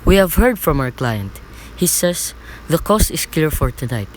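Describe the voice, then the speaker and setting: A man's voice: on the high side, big pitch swings, unhurried. A young man in an amateur radio play, playing a businesslike criminal boss who gives terse orders. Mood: cold, instructing. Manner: terse, commanding. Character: cold, businesslike criminal boss arranging a murder. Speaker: student actor. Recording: faint background noise.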